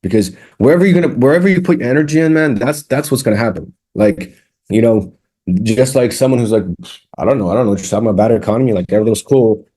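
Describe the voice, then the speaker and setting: Rambling mellow-voiced man in his thirties. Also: medium pitch, fast talker. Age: 30s. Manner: rambling, frequent restarts and fillers, candid. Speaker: music producer, guest. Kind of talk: casual interview chat.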